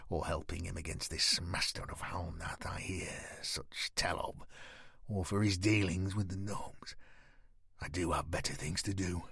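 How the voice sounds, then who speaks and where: A man voicing a hardened male warrior who speaks quietly and ironically, with a hard edge. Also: on the low side, no echo, studio quality. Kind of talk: audiobook character voice.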